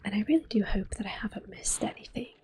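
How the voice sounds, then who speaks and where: A woman hosting ASMR gameplay, talking softly and gently with quiet laughs. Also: medium pitch, animated, fast talker. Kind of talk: whispered ASMR gameplay commentary.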